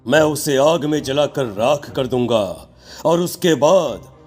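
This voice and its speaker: A man playing a stern occult holy man in a horror cartoon, speaking gravely and accusingly. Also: on the high side, slow, a little mellow, faint music behind. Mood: solemn, instructing.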